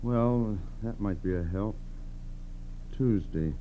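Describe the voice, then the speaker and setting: A man's voice: on the low side, slow, warm tone, a little hiss or hum, rough recording. An American man playing a helpful, folksy postal clerk in 1940s radio style, thinking aloud. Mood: helpful, pondering. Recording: humming old radio recording.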